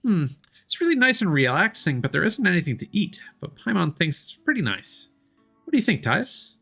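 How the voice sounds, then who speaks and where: A man voicing a small, excitable, chatty fairy companion from a video game in an eager, exclamatory way. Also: sits high, normal speed, creaky, husky, faint music behind, voice pushed up, heavily acted.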